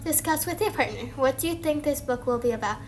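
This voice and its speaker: Female reader, high voice, children's story, slightly halting delivery, music behind. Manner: halting, repeats words and phrases. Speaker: student reader. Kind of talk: reading a children's storybook aloud.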